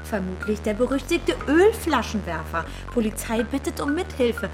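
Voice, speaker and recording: A scornful, hot-tempered schemer with a high, swooping voice. She is sarcastic and cutting, theatrical in radio-drama style, with music clearly audible behind her. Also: big pitch swings, moderate pace. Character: scornful, hot-tempered scheming woman, sharp-tongued and mocking. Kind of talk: radio play dialogue.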